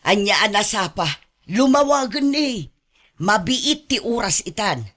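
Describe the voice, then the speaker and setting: A woman's voice: medium pitch, animated, a little slow, fairly bright tone. A woman playing a doting, possessive elderly mother who is sarcastic and fussing, in an Ilocano radio drama. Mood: sarcastic, scolding.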